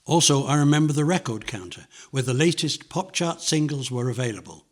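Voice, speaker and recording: An older man reads a nostalgic memoir as scripted narration, in measured, descriptive phrases. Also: mid-range voice, animated. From London, England.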